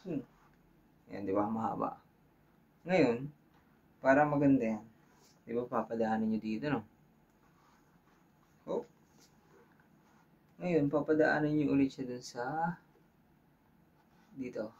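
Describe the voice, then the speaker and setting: A man's voice: mid-range voice, slow pace, gravelly, pauses often, a little mellow, slightly rough, lo-fi sound. A man with a Filipino accent hosts a hands-on tutorial in an informal way, thinking aloud and checking in with viewers. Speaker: tutorial host. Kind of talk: casual hands-on tutorial talk.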